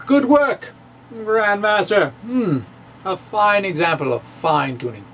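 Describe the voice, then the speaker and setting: A middle-aged man delivers a science lecture like a dramatic reading. His pitch jumps around in a lively, emphatic way, and he moves slowly with halting pauses. There is faint noise behind his voice. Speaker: science video blogger. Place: indoor room with bookshelves. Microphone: handheld-style microphone on a stand, close in front.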